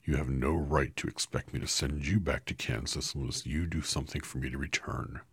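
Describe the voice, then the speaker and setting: A man voicing a stern, commanding wizard who appears as a giant head, speaking slowly and heavily in a deep, husky voice. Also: fairly even intonation, fairly bright tone, dry recording, full character voice. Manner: slow, commanding, heavy.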